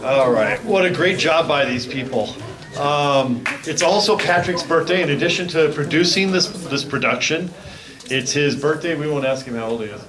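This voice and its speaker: A man's voice: on the high side, normal speed. A man hosting a live event, making casual announcements to the room. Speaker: event host. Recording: echoey hall, distant mic, loud crowd.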